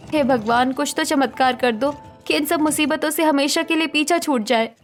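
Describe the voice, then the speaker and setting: A woman's voice: high-pitched, moderate pace, faint music behind, close to an everyday voice. A woman voicing a poor, dutiful daughter-in-law, earnest and plaintive, in a cartoon story. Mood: lamenting.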